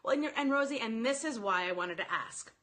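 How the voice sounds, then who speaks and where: Female online sales coach, higher-pitched and very bright, informal and animated, speaking straight to a live audience of commenters. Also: brisk. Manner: animated, informal, addresses listeners directly, quotes sample phrases.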